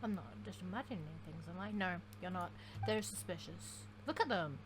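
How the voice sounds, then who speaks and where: A woman's voice: fairly low pitch, animated, moderate pace, faint music behind. A woman giving casual, slangy, irreverent playthrough commentary and reading game dialogue aloud. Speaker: gaming video creator. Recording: clear noise and game ambience behind.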